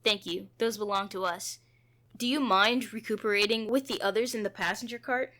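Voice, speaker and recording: A woman's voice: mid-range voice, fairly even intonation, moderate pace, bright, forceful tone, lightly acted. A woman voicing a determined male train conductor turned detective in a visual novel, serious and courteous. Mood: businesslike.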